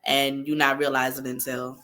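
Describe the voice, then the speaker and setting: A woman's voice: extremely low pitch, level tone, normal speed, bright, forceful tone. A woman in her thirties co-hosting a podcast, chatting candidly with frequent laughter and self-affirming talk. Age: 30s. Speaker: podcast co-host. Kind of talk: casual chat.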